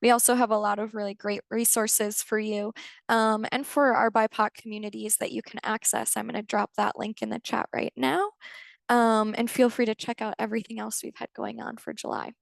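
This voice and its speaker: A woman hosts a webinar over a video call. Her somewhat high voice has a gravelly fry, and it sounds dry and close. She speaks gently, pausing often with "um.". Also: little pitch movement, no echo. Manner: gentle, with frequent "um" pauses. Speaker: webinar moderator. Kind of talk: hosting a webinar.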